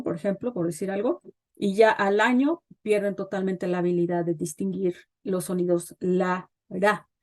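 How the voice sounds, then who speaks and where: A middle-aged woman, a guest expert, explains child development in an interview, pausing often with fillers as she thinks. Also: mid-range voice. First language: Spanish. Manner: thoughtful, pauses with frequent fillers.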